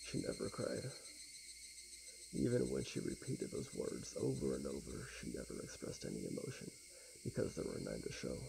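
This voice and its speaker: A quiet, laid-back young man with a gravelly, often breathy voice, streaming a game with casual talk and soft reading aloud. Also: very deep voice, huge pitch swings, moderate pace, background noise. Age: young adult. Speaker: let's play streamer. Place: small indoor room with blinds.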